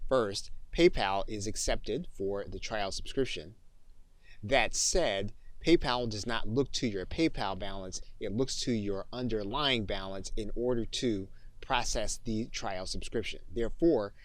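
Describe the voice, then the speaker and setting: A man narrating a software tutorial as an instructor, in a methodical step-by-step way. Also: on the low side, unhurried, no room sound.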